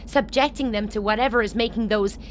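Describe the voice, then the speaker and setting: A woman's voice: a little high, steady tone, a little fast, slight background noise, natural voice. A woman acting in a radio drama as a hard, sardonic chairwoman who commands with blunt authority. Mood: bitter, challenging.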